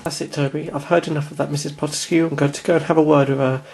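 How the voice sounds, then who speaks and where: A performer voicing a bold, theatrical man who speaks quickly and forcefully. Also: medium pitch, little pitch movement, gravelly, a little hiss or hum, natural voice. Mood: firm. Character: brisk, bold man, the Doctor.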